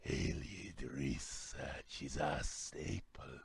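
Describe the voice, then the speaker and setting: A man performs a male guild gemologist from a fantasy game, formal and deliberate. The voice sits extremely deep, with a gravelly fry and a heavily breathy, husky edge. Every phrase is measured out as he vets newcomers. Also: full character voice. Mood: formal, questioning. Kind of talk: scripted game character dialogue.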